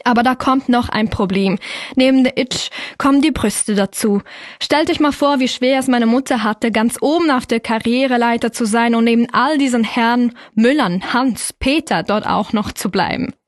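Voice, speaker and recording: A woman's voice: fairly high pitch, moderate pace, no room sound, clean studio sound. A woman in her early twenties from Switzerland, with a Swiss German accent. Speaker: slam poet, interview guest.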